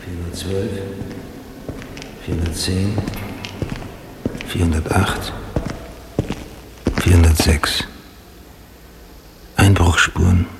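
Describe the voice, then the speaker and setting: Weary homicide sergeant for radio noir: terse, brooding, low and gravelly, noisy track. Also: male voice, full character voice. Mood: tense, observing. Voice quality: low, gravelly, often murmured. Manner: terse, brooding, muttering to himself. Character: weary, brooding male homicide detective sergeant in Los Angeles. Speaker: actor. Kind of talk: noir radio drama, clipped first-person monologue.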